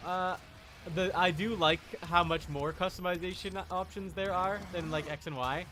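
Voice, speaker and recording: A man's voice: a little high, bright, forceful tone, a little hiss or hum. A man giving casual gaming livestream commentary in short, playful asides, as if talking to the game and chat. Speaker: livestream host.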